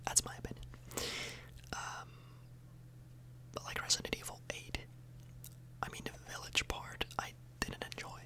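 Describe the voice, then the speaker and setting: A woman speaking in a breathy, unvoiced whisper close to the microphone, rambling informally and a little hesitantly in an ASMR style. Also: measured pace, pauses often, bright, forceful tone, rough recording. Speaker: ASMR video host.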